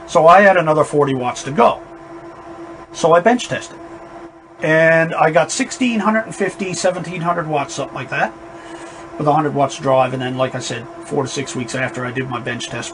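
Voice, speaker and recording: A man with a fairly high, not boomy voice, casually reviewing a radio amplifier, rambling and emphatic. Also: fairly bright tone, low-quality recording. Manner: rambling, emphatic warnings, restarts. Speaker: ham radio operator reviewing gear. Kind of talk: casual spoken equipment review. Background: amplifier fan noise in background.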